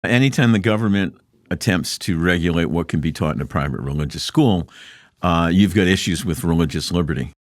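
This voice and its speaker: A male law professor explains his views in a deliberate, lecturing way. His voice is very deep. The recording is dry, with no room sound. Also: expressive, no room sound. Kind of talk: interview.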